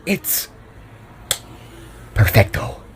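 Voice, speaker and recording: A man voicing an upbeat, caring female kitsune, an older sister who coaxes playfully, in a narrated story. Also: very slow.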